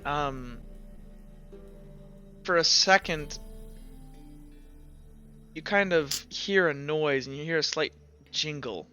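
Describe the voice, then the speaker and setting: A man acting as game master, improvising narration for a tabletop game in an informal, halting way. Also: fairly high pitch, pushed and bright, clean tone, muffled recording. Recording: music behind the voice.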